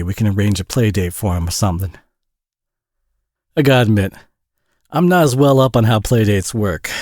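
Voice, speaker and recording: A man's voice: on the low side, a little mellow, dry recording, clean studio sound. A man acting a tired, flustered, friendly single dad with a Southern US accent in an intimate audio roleplay, rambling and stammering in a folksy, self-deprecating way. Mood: sheepish, friendly.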